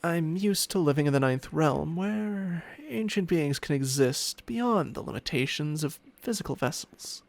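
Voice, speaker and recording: A man's voice: fairly high pitch, animated, a little slow, creaky, hardly any pauses, dry recording. A man playing a smug, possessive ancient dragon in human form, teasing and condescending in an intimate ASMR roleplay with formal, archaic phrasing. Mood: wistful, musing. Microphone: close microphone, spatial ASMR recording.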